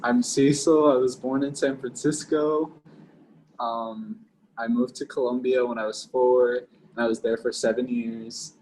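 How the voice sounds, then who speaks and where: A man's voice: mid-range voice, flat delivery, unhurried, darkish tone, a little hiss or hum, low-quality recording. Easygoing man telling a story. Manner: easygoing, self-mocking storytelling. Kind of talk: casual chat among friends.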